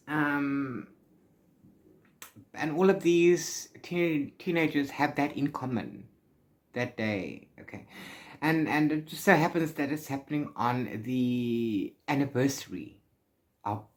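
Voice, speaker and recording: Casual, halting book vlogger, mid-pitched voice. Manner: informal and halting, trailing off and correcting self. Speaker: book vlogger hosting a read-along. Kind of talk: casual, rambling spoken vlog.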